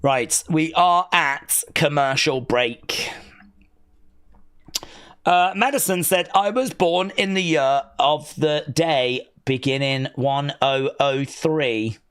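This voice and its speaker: Slow-talking man hosting a live stream. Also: fairly high pitch, fairly bright tone, clean tone. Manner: reads viewer messages aloud, playful teasing. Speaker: live-stream host reading chat. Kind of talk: casual watch-along chat.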